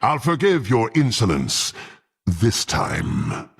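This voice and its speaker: A menacing, proud half-orc fighter from a fantasy game. He talks slowly and formally in a gruff, husky voice, swinging from growls to haughty commands. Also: lowish voice, very animated, exaggerated voice. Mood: vengeful, imperious. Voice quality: gruff, gravelly. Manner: haughty, threatening, formal archaic speech. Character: menacing proud half-orc warrior sworn to dark patron. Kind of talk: dramatic fantasy game voice acting.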